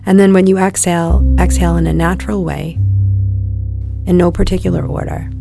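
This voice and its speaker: A woman guiding a meditation in a calm, soothing, measured delivery, letting her phrases end softly. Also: fairly low pitch, vocal fry, music in the background. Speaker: meditation guide. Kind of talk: guided meditation narration.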